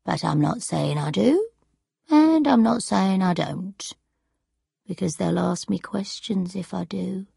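An elderly, childlike grandmother played by a woman narrator in a storybook, muddled and suspicious yet stubborn. Her voice is husky and slightly creaky, wandering from question to question. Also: animated, slow, mellow, dry recording, studio quality, full character voice. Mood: stubborn, guarded. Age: older. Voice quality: strange high singing voice when breaking into song.

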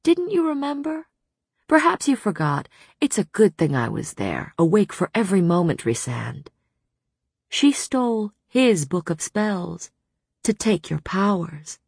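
A woman's voice: mid-range voice, big pitch swings, unhurried, vocal fry, a little mellow, husky, no echo, clean studio sound, pitched above the performer's own voice, heavily acted. A woman voicing a taunting, monstrous male warrior villain in an audiobook, crooning and sneering. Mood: smug, menacing.

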